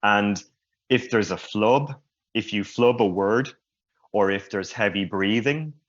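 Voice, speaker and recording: A man who stutters giving a slow, reflective personal talk at a conference. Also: fairly low pitch. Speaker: conference speaker. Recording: muffled lo-fi sound.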